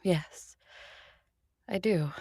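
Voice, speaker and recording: An actress playing an ancient vampire conqueror in an audio drama, sensual and intimate, with a breathy, near-whispered delivery. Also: female voice, lowish voice, no echo, clean studio sound, heavily acted. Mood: teasing, intimate. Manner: slow, sensual, hushed. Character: ancient female vampire conqueror, sensual, tender, teasing lover.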